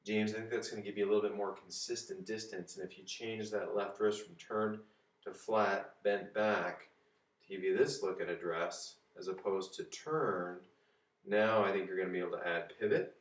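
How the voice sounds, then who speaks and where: Hoarse man, very low voice, golf coach, echoey kitchen, far from mic. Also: fairly even intonation, normal speed. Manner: easygoing, demonstrating swing positions while talking. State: hoarse from a cold. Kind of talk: casual video tips. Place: home kitchen and dining room, indoors. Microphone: far camera. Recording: echoey room, voice from a distance.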